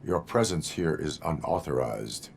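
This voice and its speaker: An actor playing a formal, deadpan cyborg police officer in a video game, speaking in clipped phrases. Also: male voice, a bass voice, a little slow, vocal fry, very noisy, full character voice. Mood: flat, formal. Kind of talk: video game cutscene acting.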